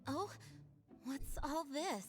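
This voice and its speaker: A woman's voice: sits high, lively intonation, takes it slowly. An actress playing an earnest, gracious young woman knight leader in an anime video game, polite, sincere and modest. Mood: surprised, touched. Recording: game music behind the voice.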